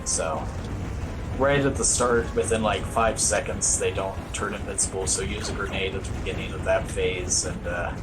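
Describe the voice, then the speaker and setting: A man's voice: low-pitched, fairly even intonation, moderate pace, heavy background noise. A man giving casual, wry, self-deprecating live commentary as he plays through a video game as a speedrunner. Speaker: speedrunner commentating on own play.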